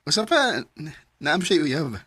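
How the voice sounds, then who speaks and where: Young man, mid-twenties, modest shop-owning mechanic, low voice, lively delivery, dry sound, voiced by a woman. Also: unhurried, husky, no room sound, full character voice. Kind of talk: amateur radio drama acting.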